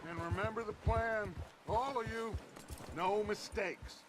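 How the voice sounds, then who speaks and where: An actor playing a charismatic outlaw gang leader in a video game, authoritative and oratorical. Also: male voice, high-pitched, fairly even intonation, measured pace, background noise. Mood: rousing. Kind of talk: dramatic video game acting. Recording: loud music and horses behind.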